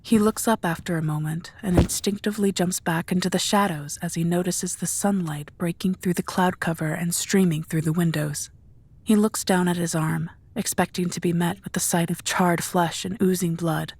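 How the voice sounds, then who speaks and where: A woman reading scripted narration for a dark audio drama in a measured, descriptive storytelling manner. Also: fairly low pitch, steady tone, a little hiss or hum.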